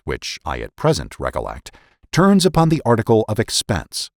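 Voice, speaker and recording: Expressive man reading formally, very dry sound. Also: lowish voice, no room sound. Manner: formal, deliberate, emphatic oratorical delivery. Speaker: podcast host and narrator. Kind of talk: dramatic reading of historical political essays.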